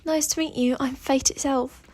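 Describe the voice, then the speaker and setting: A woman's voice: sits high, fairly even intonation, normal speed, a little mellow, close to an everyday voice. A woman voicing a playful, pleading spirit in a mirror, with a British accent and a theatrical, whiny manner, in a story reading. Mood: grand.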